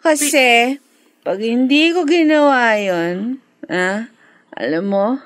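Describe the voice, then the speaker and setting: A young Filipina daughter, naive and anguished. Her voice is very high and moves slowly, with a plaintive, halting delivery. It is emotional radio drama acting, recorded close and dry. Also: dry recording. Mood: drunk, giddy. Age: young adult.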